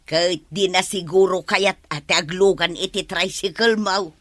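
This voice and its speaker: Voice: mid-range voice, fairly even intonation, measured pace, a bit bright, close to an everyday voice. An actor playing a teasing, sceptical village friend in a radio comedy, with an Ilocano accent. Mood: needling. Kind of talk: Filipino radio comedy drama acting.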